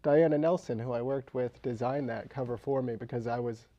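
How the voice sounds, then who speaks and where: A man speaking as an interview guest and author, telling anecdotes with dry, deadpan humour. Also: medium pitch, brisk, highs cut off. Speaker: interview guest, local novelist.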